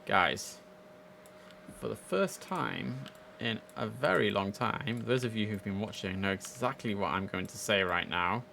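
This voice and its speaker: Young man streaming, slightly creaky voice. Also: fairly low pitch, expressive, measured pace, sharp tone, a little hiss or hum. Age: young adult. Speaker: game streamer. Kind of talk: casual live gameplay commentary. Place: indoors at a computer.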